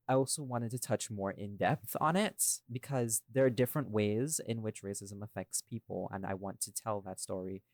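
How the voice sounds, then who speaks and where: Low-voiced man chatting casually, close dry sound. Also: no echo. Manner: informal, swearing, rhetorical tag questions. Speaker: audio drama creator and podcast co-host. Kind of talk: casual podcast chat about creative process.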